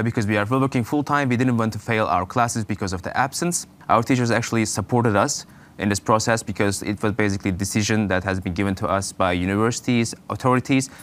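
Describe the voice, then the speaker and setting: Young Turkish-accented man, low voice. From Turkey. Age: 20s. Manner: hesitant, many fillers and restarts. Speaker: guest. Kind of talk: casual interview answers.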